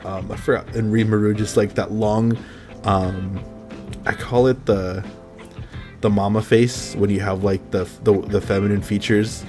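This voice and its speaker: A man with a lowish voice talks in a loose, rambling way, dropping curse words and breaking into laughter often. He comes across as a laid-back podcast host in casual chat, with faint music under the talk. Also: steady tone, a little slow, a little mellow.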